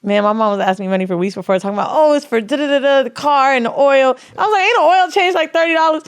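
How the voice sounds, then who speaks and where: A woman in casual chat, telling stories in a blunt, animated way. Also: high-pitched, rapid-fire.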